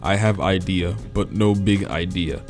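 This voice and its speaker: A man voicing a big, simple, creepy gray male monster who speaks in broken, halting English. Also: sits low, faint music behind. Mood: blunt. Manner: broken halting English, short flat sentences.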